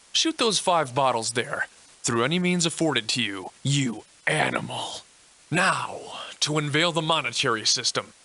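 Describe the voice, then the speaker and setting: A man plays a pompous, old-fashioned tutorial master from a video game. The voice is bright and forceful at a middle male pitch. He is eccentric and cheerfully insulting, dressing up instructions in florid, mock-genteel phrases. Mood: gleefully taunting.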